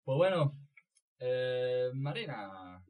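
A man's voice: medium pitch, sing-song, extremely slow, darkish tone. A man hosting a radio show in casual, conversational chat. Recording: distant mic with faint noise.

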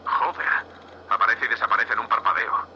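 A man acting a sharp, bossy space captain. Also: mid-range voice, steady tone, fairly quick, lo-fi sound, slight background noise. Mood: focused, tense. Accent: Castilian Spanish. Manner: curt, scolding, sweary. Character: gruff, bossy, impatient male spaceship captain. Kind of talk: Spanish audio fiction, space drama.

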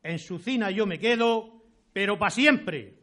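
A man's voice: high-pitched, expressive, unhurried, a bit bright, slight background noise. A man playing a humble, determined peasant settler in a radio play, with rustic Murcian Spanish and a declamatory delivery. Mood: hopeful, resolute.

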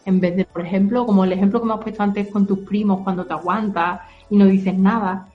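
An adult woman calmly explaining ideas to a child and reassuring her, using simple comparisons. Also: mid-range voice, brisk, narrow, tinny audio, soft background music. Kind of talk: gentle explanatory talk to a child.

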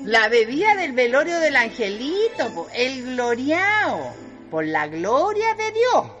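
An actress playing a bossy, hearty country woman in a radio drama, with a rural Chilean accent and a brisk, colloquial way of instructing. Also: high voice, very animated, fairly bright tone, dull audio, soft background music, exaggerated voice. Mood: bustling, hearty.

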